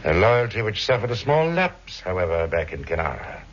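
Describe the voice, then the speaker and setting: An actor playing a suave, cruel criminal mastermind with silky, formal, mocking politeness, in 1960s radio drama style. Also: male voice, low-pitched, sing-song, moderate pace. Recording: muffled vintage radio recording, faint noise.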